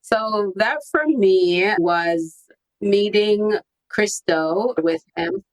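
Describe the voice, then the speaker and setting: A woman's voice: medium pitch, big pitch swings, very slow, low-quality recording. A woman guest on a podcast interview, telling her personal story in a candid, reflective way. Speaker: podcast guest, copywriting strategist.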